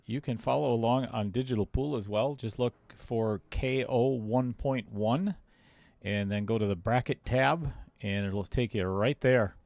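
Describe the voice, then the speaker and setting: A man's voice: medium pitch, unhurried, gravelly, darkish tone, no room sound. A man doing casual play-by-play commentary on a pool match for a live stream. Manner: casual, chatty, reads viewer comments aloud.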